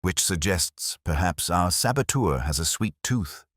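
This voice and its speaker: A man voicing a smooth, meddling, self-justifying committee woman in a village mystery story. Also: low-pitched, expressive, measured pace, a bit bright, dry recording, clean studio sound, exaggerated voice. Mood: insinuating.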